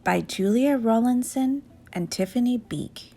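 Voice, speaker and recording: A woman storyteller with a fairly high voice reads for children at a very unhurried pace. Her delivery is expressive, and music plays softly behind her.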